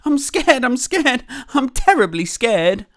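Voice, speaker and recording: A woman's voice: high voice, big pitch swings, takes it slowly, pitched above the performer's own voice, exaggerated voice. A woman voicing a timid, wimpy male cook who is scared of everything, fretful and wailing, in a children's storybook. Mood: panicky, scared. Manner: fretful, wailing delivery with repeated pleas.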